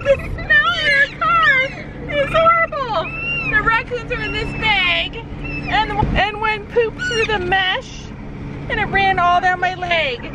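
High, lively woman vlogging from a car. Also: slow pace, over a noisy crowd. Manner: laughing, exasperated storytelling. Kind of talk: casual vlog to camera. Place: inside a car. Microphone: handheld camera at arm's length. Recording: noisy car with screeching animals.

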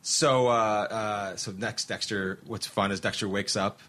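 A man's voice: fairly low pitch, steady tone, unhurried, pushed and bright. A middle-aged man hosting an interview podcast, talking casually, laughing often and restarting his sentences. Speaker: podcast host and producer.

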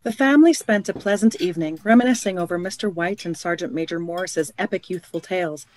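A narrator giving scripted storytelling in a measured delivery for a radio play. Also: medium pitch, lightly acted, poor audio.

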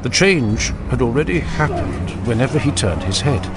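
A man giving scripted, dramatic narration of a fantasy audiobook with grave, deliberate phrasing. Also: mid-range voice, animated, heavy background noise.

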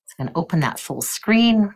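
An older woman music educator leading a webinar demonstration, her voice croaky, often clearing her throat and counting beats aloud. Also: medium pitch, expressive, brisk, darkish tone, a little hiss or hum.

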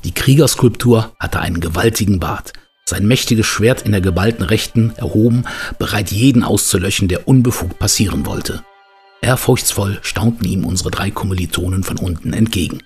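A man acting as the storyteller of a boys' adventure audio play, reading scripted narration in a measured way. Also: lowish voice, a little mellow, breathy edge, soft background music. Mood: measured storytelling.

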